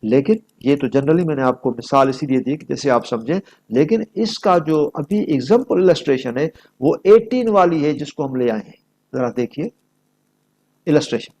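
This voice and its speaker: A man's voice: on the high side, lively intonation, moderate pace, warm tone. A man with a Pakistani accent giving an explanatory lecture, reading examples aloud and stressing key phrases as he switches between two languages. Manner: didactic, emphatic, switching between Urdu and English, repeating key phrases. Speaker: law lecturer.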